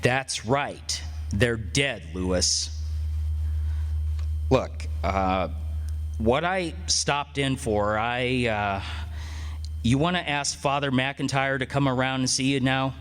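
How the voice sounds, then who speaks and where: A man's voice: mid-range voice, slow, long pauses, fairly bright tone, smooth. A man playing a grave, awkward visitor to a condemned man, speaking hesitantly and matter-of-factly in an old-time style radio drama. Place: on stage, live radio play performance. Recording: reverberant hall, stage performance.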